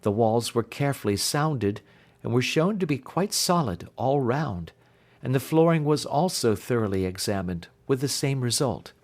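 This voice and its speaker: A man voicing an earnest, distressed young gentlewoman in a storybook reading, with formal Victorian phrasing. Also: mid-range voice, lively intonation, moderate pace. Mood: earnest, troubled. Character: Helen, distressed young gentlewoman recounting her sister's death.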